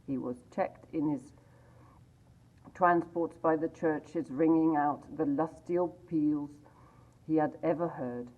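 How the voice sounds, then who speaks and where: A woman reading a classic story aloud in a measured, expressive way. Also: deep voice. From England. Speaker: library reader. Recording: tinny phone-like sound.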